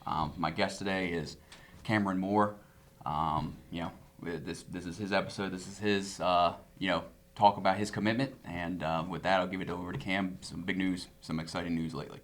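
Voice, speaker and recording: A man hosting a casual sports podcast, relaxed and full of fillers and restarts. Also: low voice, moderate pace. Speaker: podcast host and coach.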